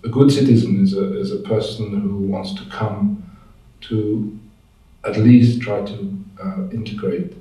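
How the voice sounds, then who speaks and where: A man from France answers interview questions in a halting, thoughtful way, pausing as he searches for words. His voice is lowish and mellow. He sounds distant from the mic, in a very echoey room. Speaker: interviewee, language teacher.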